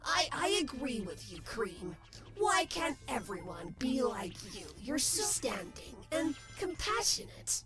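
A woman's voice: sits high, sing-song, measured pace, over music. A woman voicing a creepy but lonely, sensitive doll character in a hesitant, plaintive cartoon voice. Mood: wistful.

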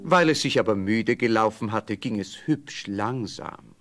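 A man narrating a fairy tale from a script as an expressive storyteller. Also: fairly low pitch, measured pace, over music.

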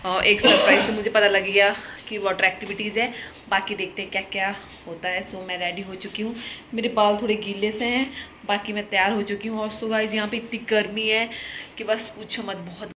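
A woman's voice: medium pitch, flat delivery, fairly quick. A young woman chatting to her viewers as a travel vlogger, filming herself on a phone at arm's length in a hotel room and on a beach. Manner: chatty, addresses viewers as guys, mixes English words. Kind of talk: casual vlog narration. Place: hotel room, then outdoors on a beach and boat. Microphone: phone held at arm's length. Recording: loud wind and surf noise. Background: waves, boats and water vehicles.

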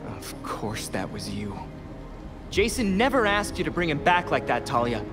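A young man playing an earnest, sharp vigilante hero in a video game, inquisitive, wry and challenging in manner. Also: on the high side, sing-song, moderate pace, over loud music. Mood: accusing. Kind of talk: video game cutscene acting.

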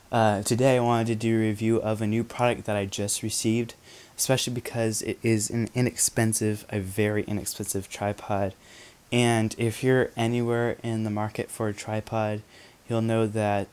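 A man's voice: lowish voice, darkish tone, smooth. A young man casually reviewing photography gear from his bedroom, talking to the camera with lots of ums and restarts. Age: young adult. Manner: chatty, many ums and restarts.

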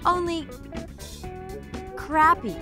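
A woman's voice: very high voice, expressive, drawn-out words, music in the background. A woman co-hosting a backyard cooking show, teasing and playful. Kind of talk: hosting with banter. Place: backyard outdoors.

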